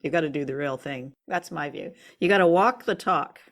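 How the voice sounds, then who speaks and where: A woman with a low voice talks casually as co-host of a review show. Her delivery is thoughtful and conversational, with a light teasing touch. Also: moderate pace.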